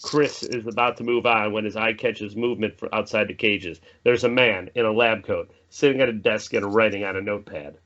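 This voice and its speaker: A man's voice: on the low side, steady tone, a little fast, few pauses, a bit bright. A man reading out the stage directions of a horror screenplay as narrator at a table read. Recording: slightly dull mic, faint noise.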